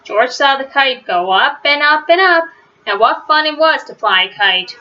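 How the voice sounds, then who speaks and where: A woman reading a children's storybook aloud in an expressive way, with drawn-out emphasis. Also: high voice. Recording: dull, lo-fi sound.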